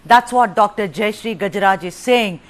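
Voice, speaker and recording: A woman's voice: medium pitch, normal speed. Probing news anchor woman. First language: Tamil. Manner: probing, pressing questions. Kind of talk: live TV interview.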